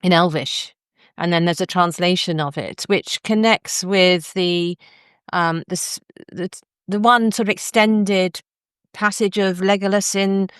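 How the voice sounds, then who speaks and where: A woman's voice: fairly low pitch, fairly even intonation, unhurried, clean tone, dry recording, clean studio sound. A woman hosting a conversational book interview in an articulate, discursive way. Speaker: podcast host and interviewer.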